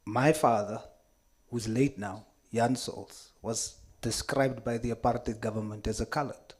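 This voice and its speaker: A man's voice: medium pitch, steady tone, a little slow, darkish tone. A South African man whose first language is Afrikaans, giving an earnest, impassioned formal address at a committee hearing, repeating key points for emphasis. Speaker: member speaking in a committee hearing.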